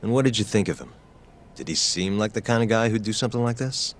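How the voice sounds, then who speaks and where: An actor playing an earnest, determined young lawyer in a video game. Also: male voice, on the low side, fast, slight background noise, natural voice.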